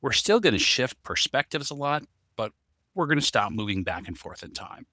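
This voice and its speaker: A man's voice: mid-range voice, very animated, normal speed, bright, forceful tone, dry recording, studio quality. A man co-hosting a casual podcast discussion, talking as the writer of the story. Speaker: podcast co-host, story writer and amateur voice actor.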